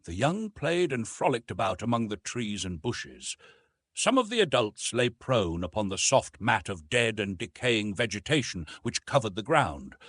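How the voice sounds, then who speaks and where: A man narrating a classic adventure story as an audiobook, in a measured, dramatic storytelling manner. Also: mid-range voice, slightly rough, no room sound.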